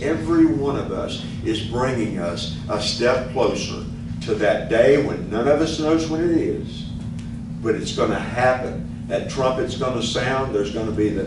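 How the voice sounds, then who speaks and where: An older male pastor gives an earnest, unhurried Bible teaching at a stand microphone. The room is very echoey, and he sounds a little distant from the mic. Steady background noise hums under his voice. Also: mid-range voice. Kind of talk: sermon teaching. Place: church meeting room with band gear. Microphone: stand mic, filmed from a distance.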